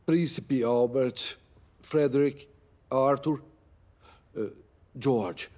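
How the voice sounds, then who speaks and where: Stammering, proud Brazilian-dubbed prince. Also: male voice, medium pitch, a little mellow. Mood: stiff, guarded. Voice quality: stammer with halting repeated words. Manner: stiff, formal, defensive. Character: stammering, proud, irritable middle-aged British prince. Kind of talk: film dubbing in Portuguese.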